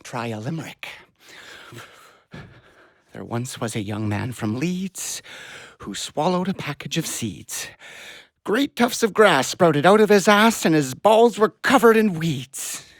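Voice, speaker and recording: Anxious doting dad, middle-aged, halting, unhurried, very varied pitch, dry sound. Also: male voice, lively intonation, dry recording, exaggerated voice. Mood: anxious, self-soothing. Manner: fretful, tender, halting. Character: anxious, doting father fretting over his grown daughter. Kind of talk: audio play acting.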